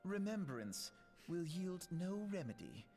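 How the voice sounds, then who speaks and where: Posh lofty young lordling from a fantasy game, lively swooping delivery, music faintly behind. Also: male voice, high-pitched, a little slow, exaggerated voice. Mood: grave, persuasive. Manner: formal, lofty, rhetorical. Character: poised, self-assured young nobleman, a twin brother. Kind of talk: scripted fantasy game dialogue.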